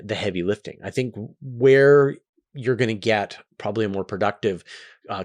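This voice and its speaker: A man talking casually and emphatically to viewers as the host of a space Q&A show, explaining things with enthusiasm. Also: lowish voice.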